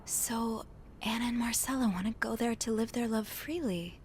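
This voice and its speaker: An actress playing an earnest, curious young hotel maid in a mystery video game, speaking thoughtfully and politely in casual conversation. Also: female voice, on the high side, moderate pace, darkish tone, a little hiss or hum, lightly acted. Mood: curious, hesitant.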